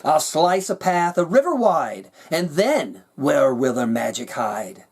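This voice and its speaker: A man's voice: a little high, very animated, slow, breathy edge, heavily acted. A man voicing a cold, scheming, power-hungry king in a rhyming storybook, imperious and menacing. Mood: scheming, defiant. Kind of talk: storybook character reading in rhyme.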